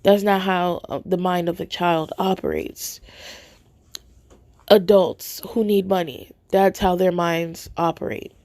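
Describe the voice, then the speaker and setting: Hoarse woman podcaster, slow delivery. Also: lowish voice, darkish tone, dry recording. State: hoarse from a cold, voice nearly gone. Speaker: podcast host. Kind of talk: casual spoken commentary to listeners. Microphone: phone held close to mouth.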